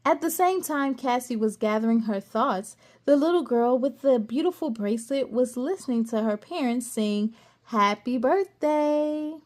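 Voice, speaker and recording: A woman reading a children's story aloud expressively, adding gasps and excited asides. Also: a little high, moderate pace, few pauses.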